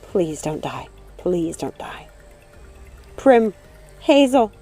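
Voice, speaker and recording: Young storybook witch woman reader: protective, fierce, intense, pleading yet commanding, with a bright edge. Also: on the low side, sing-song, extremely slow, soft background music, full character voice. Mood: anxious pleading.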